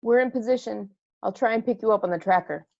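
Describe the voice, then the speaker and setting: A woman playing a tough, sardonic spaceship crew member in an amateur table read, creaky voice on a dull lo-fi recording. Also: a little high, quick pace. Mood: alert.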